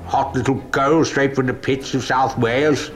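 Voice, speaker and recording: An actor playing a grandiose, grumbling elderly British wartime leader in a political drama, sardonic and pompous. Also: male voice, medium pitch, fairly even intonation, normal speed, natural voice. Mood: scornful, combative. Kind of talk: political stage-drama dialogue.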